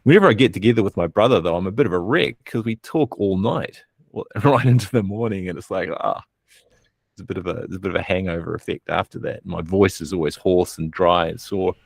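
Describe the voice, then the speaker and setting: A man's voice: low voice, brisk, creaky, dry recording, clean studio sound. A man co-hosting a casual live stream, talking in a hesitant, rambling, thoughtful way. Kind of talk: casual chat.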